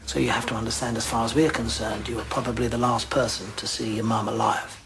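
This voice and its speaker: Probing British detective, noisy soundtrack. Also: male voice, on the low side, flat delivery, gravelly. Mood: gently probing. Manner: measured, questioning. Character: probing, steady male British police detective. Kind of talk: naturalistic TV police drama acting.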